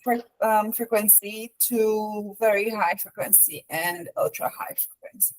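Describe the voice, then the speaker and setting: A female student with a mid-range voice answers her instructor. She speaks hesitantly, feeling her way through the answer in short, halting pieces. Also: medium pitch, steady tone, low-quality recording.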